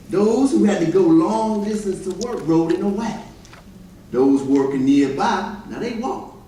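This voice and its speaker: A man's voice: on the high side, warm tone. A man performing a readers theater monologue as an elderly formerly enslaved man, telling his story plainly and emphatically. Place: church hall stage, recorded from afar. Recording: distant, very echoey hall, faint noise.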